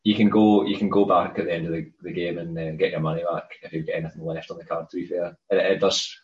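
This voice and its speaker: Scottish man, very low voice, casual rambling chat, roomy dull recording. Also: little pitch movement, fast. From Scotland. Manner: rambling, repeats words when starting. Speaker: podcast co-host.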